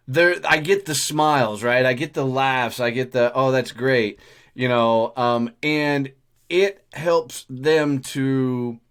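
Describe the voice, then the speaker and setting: Blunt, cussing host. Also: male voice, medium pitch, a bit bright. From Texas, USA. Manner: blunt, swears freely. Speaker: podcast host. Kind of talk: casual conversation.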